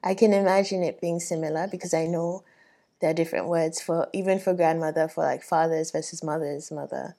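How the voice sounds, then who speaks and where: Woman from Ghana, lower-pitched, chatting casually. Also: fairly even intonation, brisk, warm tone. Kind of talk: casual chat.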